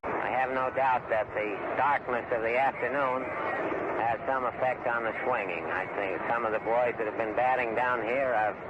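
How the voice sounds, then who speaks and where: An American man giving measured baseball commentary in a 1930s radio style, with folksy yet formal phrasing. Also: mid-range voice, steady tone. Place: open-air booth at a baseball stadium. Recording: noisy muffled vintage 1930s radio broadcast.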